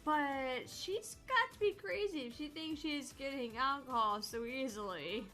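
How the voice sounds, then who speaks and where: A woman voicing a dry, weary, deadpan bartender in a cyberpunk visual novel. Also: high-pitched, measured pace, faint music behind, exaggerated voice. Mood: stern, wry.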